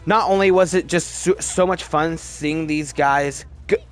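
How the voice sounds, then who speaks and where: A man giving casual, opinionated commentary as a video host, emphatic and halting, often restarting his sentences. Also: fairly high pitch, faint music behind. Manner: chatty, halting, restarts sentences mid-word. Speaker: movie review video host. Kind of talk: casual ranked-list movie commentary.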